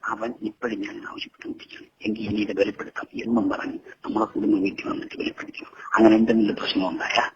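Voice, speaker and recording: A man's voice: fairly low pitch, level tone, very fast, slight background noise. A middle-aged man arguing on a phone call, insistent and pleading, repeating himself over and over. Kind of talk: heated private phone argument. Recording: dull phone-call recording.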